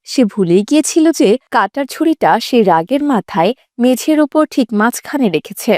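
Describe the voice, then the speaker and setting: A woman narrates a scripted cartoon story. Her voice is fairly high, and the recording is very dry. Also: very animated, normal speed, darkish tone, no room sound.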